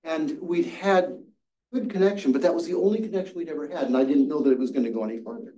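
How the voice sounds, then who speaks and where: A man's voice: on the high side, quick pace, dark tone. An older man teaching a Sabbath school class, telling a story earnestly and often restarting his words, heard from across the classroom. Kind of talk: teaching a Bible study class. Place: church classroom with seated audience. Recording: echoey room from far off.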